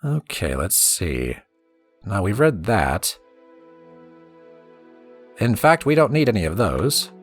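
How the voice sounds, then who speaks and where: A man's voice: fairly low pitch, very animated, takes it slowly, long pauses, husky, faint music behind. A man reading in-game books aloud in a storytime style, with casual game commentary.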